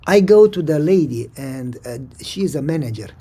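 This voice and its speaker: Romanian-accented male pastor, husky and raspy, lively storyteller. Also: fairly high pitch, moderate pace. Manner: animated, acts out dialogue in the story. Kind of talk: storytelling sermon.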